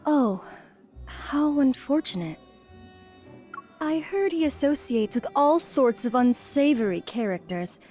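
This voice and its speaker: A woman playing a genteel, gossipy high-society lady in an audio drama, polite in manner. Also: lively intonation, slow, warm tone, faint music behind. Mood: gossipy, cautioning.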